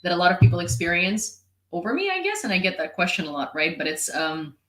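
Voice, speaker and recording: A young woman from Ukraine, a native Ukrainian speaker, answers a viewer comment on camera in a frank, sarcastic vlog monologue, with filler words and the odd curse. Her voice is bright and forceful, and the room around her echoes. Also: fairly low pitch, expressive, fast talker. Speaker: independent reporter and vlogger.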